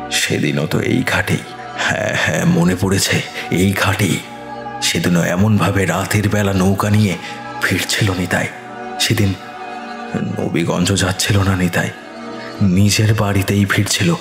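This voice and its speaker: A man narrating a scripted horror story in a measured, suspenseful way. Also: deep voice, fairly even intonation, gravelly, roomy sound. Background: eerie background music.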